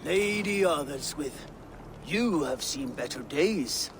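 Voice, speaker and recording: Game casting: grim male Norse fighter, drawn-out delivery, music under the voice. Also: on the high side, animated. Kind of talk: dramatic video game acting. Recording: music behind the voice.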